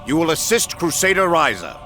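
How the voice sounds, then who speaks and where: An actor playing a stern, authoritative general giving commands in a video game. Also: male voice, a little high, big pitch swings, fairly quick, over music. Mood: commanding. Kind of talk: video game cutscene acting.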